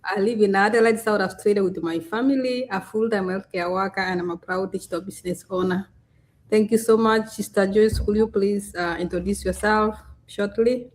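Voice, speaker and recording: A woman's voice: medium pitch, fairly even intonation, moderate pace. Woman hosting a live stream. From Kenya. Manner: chatty, many fillers and restarts.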